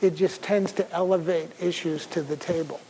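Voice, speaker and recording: An older man with a soft voice giving a conversational conference talk, often clearing his throat and using fillers. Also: on the high side, fairly even intonation, normal speed, slight background noise, low-quality recording. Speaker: speaker.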